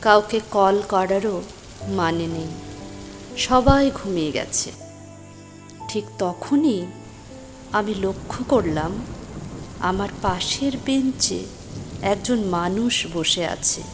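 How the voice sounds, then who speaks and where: A woman playing a stranded, concerned young woman who narrates her own mystery story, emotive and suspenseful, with deliberate pauses. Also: mid-range voice, expressive, music in the background. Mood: suspenseful, weary. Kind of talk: scripted first-person dramatic story narration. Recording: voice over rain and thunder ambience.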